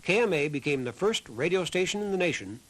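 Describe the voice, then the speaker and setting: A man's voice: sits high, lively intonation, quick pace, fairly bright tone. A man reading scripted narration as the narrator of a documentary. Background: music behind the voice.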